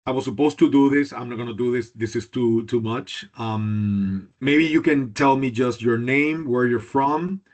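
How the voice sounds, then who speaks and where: A man's voice: mid-range voice, a little mellow. A man leads an online business module over a video call, stumbling and restarting his phrases as he moves through the roll. His voice sits in a middle range. The call audio is dull and muffled. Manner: halting, repeats words, drops into Spanish and Italian. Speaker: instructor. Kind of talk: online class introductions.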